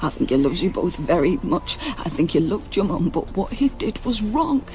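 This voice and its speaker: A woman playing a compassionate, steady undercover policewoman in a naturalistic radio drama, speaking with earnest care. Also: on the low side, normal speed. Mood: gentle, careful. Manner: gentle, earnest, reassuring.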